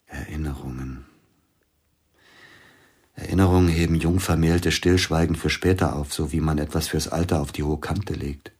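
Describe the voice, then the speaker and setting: Radio play: a bereaved pianist, deep voice, husky and hushed. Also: male voice, fairly even intonation, moderate pace, heavily acted. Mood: grieving. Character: grieving widowed male concert pianist. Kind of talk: radio drama acting.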